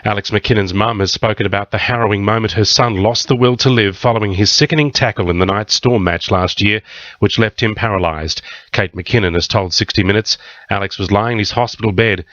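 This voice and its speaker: A man's voice: on the low side, a little fast, a bit bright, no echo. A man with an Australian accent reading a scripted news bulletin on the radio from a studio. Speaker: radio newsreader. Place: dry broadcast studio. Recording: dull radio broadcast audio.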